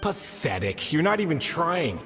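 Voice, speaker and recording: Scornful taunting voice, lively pitch, reverb. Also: male voice, unhurried, music in the background. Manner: contemptuous. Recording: reverberant game audio.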